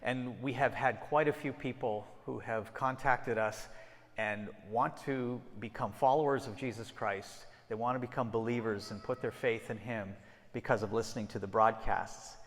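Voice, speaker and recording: A man's voice: medium pitch, steady tone, moderate pace. Middle-aged missionary guy talking to a church, lots of restarts, big echoey hall. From Ecuador and Peru. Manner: conversational, frequent restarts and "and so". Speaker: missionary guest speaker. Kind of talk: mission talk to a church congregation. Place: church sanctuary. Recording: long hall reverb.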